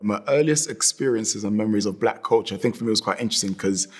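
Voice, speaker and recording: Low-voiced man reflecting. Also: quick pace, faint music behind. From born in Congo, grew up in North London. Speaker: interviewee. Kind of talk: reflective personal talk.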